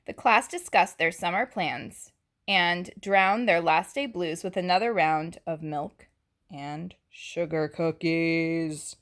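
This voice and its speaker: A woman reading a picture book aloud to young children in an animated teacherly way, pausing to ask questions and chat about the story. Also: lowish voice. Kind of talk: expressive read-aloud storytelling with asides and questions.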